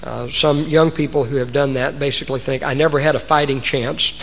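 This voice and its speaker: A man's voice: mid-range voice, fairly even intonation, brisk, gravelly, a bit bright, a little hiss or hum. A man with a Texas accent preaching a sermon to a congregation, folksy and homespun, fond of old sayings. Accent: Texan. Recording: muffled recording in a large reverberant hall.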